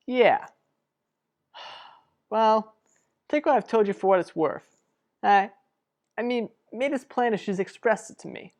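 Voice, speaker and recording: An actress playing an earnest, protective sister in a radio soap drama, speaking deliberately and persuasively. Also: female voice, medium pitch, a little mellow, close to an everyday voice. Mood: pleading. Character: earnest, protective sister pleading for a troubled couple. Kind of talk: revived vintage radio soap drama acting.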